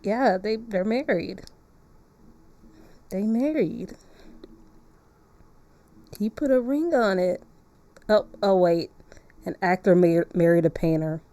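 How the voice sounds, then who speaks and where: A woman's voice: mid-range voice, slow pace, pauses often, mellow. A woman streaming a game, chatting casually and playfully as she reacts aloud. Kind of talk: casual live-stream chat while playing a visual novel.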